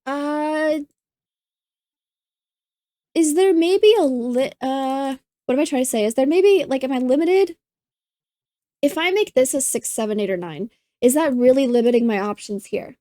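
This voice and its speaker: Slightly hoarse woman thinking aloud. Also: sits high, unhurried, long pauses, dark tone, dry recording, clean studio sound. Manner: thinks aloud, easily delighted. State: slightly hoarse, losing her voice. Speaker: puzzle channel host. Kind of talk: casual think-aloud puzzle solving.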